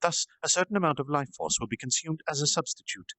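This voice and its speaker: A man's voice: on the high side, lively intonation, fast, pushed and bright, no room sound. A man narrating a fantasy story aloud as an informal storytime reader. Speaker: storytime streamer reading aloud. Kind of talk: animated amateur story narration. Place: at home in a reading chair.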